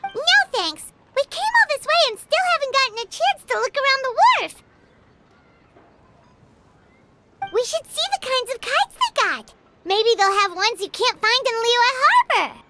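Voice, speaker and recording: A woman voicing a small, cheeky, childlike fairy companion in a video game, squeaky and chirpy, speaking bluntly and excitably. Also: normal speed, very noisy. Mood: playful, eager. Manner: speaks of herself in third person, blunt, chirpy. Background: music.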